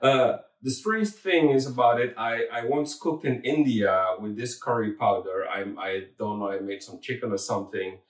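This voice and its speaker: A man's voice: mid-range voice, moderate pace, few pauses, echoey room, distant mic. A man with a Dutch accent, Dutch his first language, hosting a home cooking demonstration in a kitchen, friendly and hesitant with many fillers and restarts. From Suriname. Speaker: cooking channel host.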